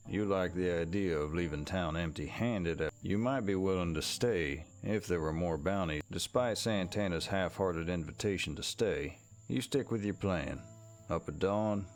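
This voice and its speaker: A man performing scripted second-person narration as the storyteller of a Wild West mystery game. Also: deep voice. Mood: reflective.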